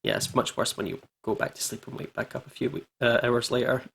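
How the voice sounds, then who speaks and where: A man's voice: mid-range voice, fast talker, vocal fry, a bit bright, slightly rough. A man in a casual let's-play, chatting and reading aloud with informal banter and exclamations. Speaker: gaming channel co-host. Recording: music playing behind the voice. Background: game music.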